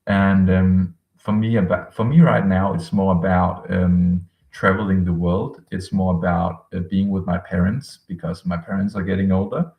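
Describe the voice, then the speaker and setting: A man in his late twenties, a language coach chatting casually with viewers on a live stream, rambling with many pauses and fillers. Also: low-pitched, fairly even intonation, roomy sound, distant mic, phone-quality sound. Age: 20s. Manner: rambling, thinks aloud with many ums, reads out chat messages.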